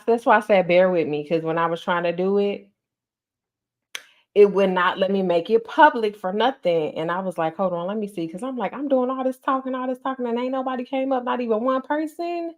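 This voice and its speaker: A woman's voice: mid-range voice, fairly quick. Chatty woman hosting a livestream. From North Carolina, US. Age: 30s. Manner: chatty, animated, addresses listeners as y'all. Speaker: podcast host. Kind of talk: casual livestream chat.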